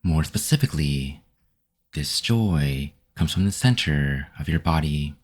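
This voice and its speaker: A man guiding a meditation with gentle, unhurried, scripted instructions. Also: a bass voice, animated. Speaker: meditation guide. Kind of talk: scripted guided meditation narration.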